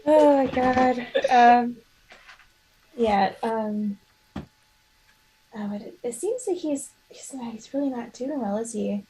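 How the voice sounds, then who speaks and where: A woman's voice: on the high side, slow pace, long pauses, dark tone, a little hiss or hum, poor audio. A woman playing a tabletop game, chatting and improvising casually in a hesitant, apologetic way. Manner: hesitant, apologetic, many trailing restarts. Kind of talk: casual chat and improvised role-play.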